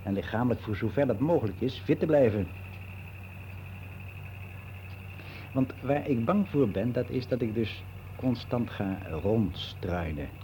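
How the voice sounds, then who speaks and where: Male actor as candid ageing man, very mellow voice, matter-of-fact musing, radio play monologue, noisy old recording. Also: medium pitch, animated, normal speed, close to an everyday voice. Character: candid, reflective ageing man, worldly and unbelieving. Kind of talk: radio drama monologue, conversational confiding.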